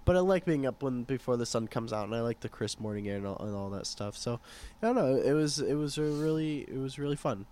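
A young man with a hoarse voice from a sore throat, chatting in an easygoing way, laughing often and restarting his sentences. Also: mid-range voice, expressive, quick pace, warm tone. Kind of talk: casual chat.

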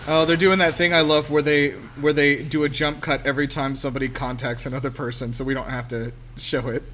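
A man's voice: fairly high pitch, little pitch movement, a little fast, fairly bright tone, slight background noise. A man talking casually and informally as a podcast co-host, giving film commentary. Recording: music behind the voice.

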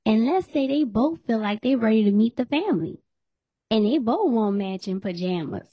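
A woman hosting a podcast in a casual chat, losing her voice and hoarse, teasing and laughing often. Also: on the high side, expressive, normal speed, no room sound, highs cut off. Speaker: podcast host.

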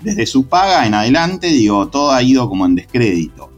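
A man's voice: medium pitch, big pitch swings, a little fast, faint music behind. Argentine man, passionate and reflective. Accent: Argentine Spanish. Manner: reflective, passionate, frequent restarts and hesitations. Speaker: secondary school literature teacher. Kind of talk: relaxed conversational interview.

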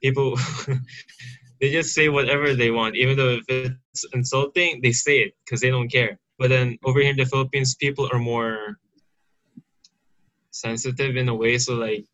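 A man's voice: medium pitch, steady tone, normal speed, fairly bright tone, lo-fi sound. A young man chatting as a guest, speaking hesitantly and often adding "you know". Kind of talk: casual conversation.

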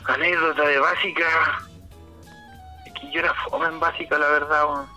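Bright-toned Chilean man, slangy and easygoing. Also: on the high side, little pitch movement, moderate pace, dull audio, faint music behind. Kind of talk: casual chat.

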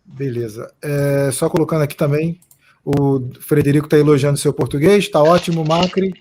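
A man's voice: on the high side, normal speed, a little mellow, a little hiss or hum. A Brazilian man hosting a casual live stream, chatting and reading out viewer comments.

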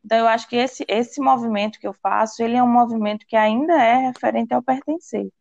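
A woman's voice: fairly high pitch, steady tone, fast, vocal fry, a little mellow, dry recording, lo-fi sound. A woman co-hosting a podcast, speaking thoughtfully in casual conversation, with hesitant restarts. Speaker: podcast co-host.

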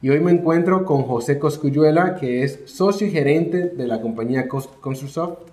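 A man hosting a podcast interview, greeting listeners and asking questions in a friendly, relaxed way, in an office. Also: a little high, normal speed. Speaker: podcast host. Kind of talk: conversational interview. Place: office room. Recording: roomy echo.

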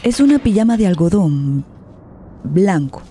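An actress playing a grave narrator in a tragic radio play, solemn and measured in her descriptions. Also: on the low side, sing-song, mellow, slight background noise. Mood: grave, tense.

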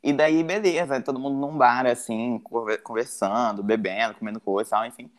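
A man from Brazil hosting a relaxed podcast chat. He strings his stories together with frequent fillers and asides. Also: medium pitch, brisk. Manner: storytelling, many fillers like "tipo" and "assim". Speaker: podcast host. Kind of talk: casual chat. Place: living in Portugal.

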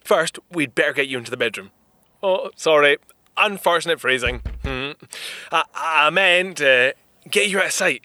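A man's voice: a little high, lively intonation, normal speed, pushed and bright. An actor playing an earnest, polite, easily flustered young Scottish inventor in his twenties, in a 1930s-set audio drama. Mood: polite, eager. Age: 20s. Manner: courteous, old-fashioned, hesitant wording. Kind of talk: full-cast audio drama acting.

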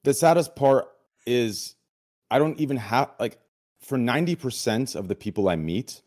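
A deep-voiced man chatting candidly as an interview guest, excitable and blunt, swearing casually and often checking that he is understood. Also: moderate pace. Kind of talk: casual confessional chat.

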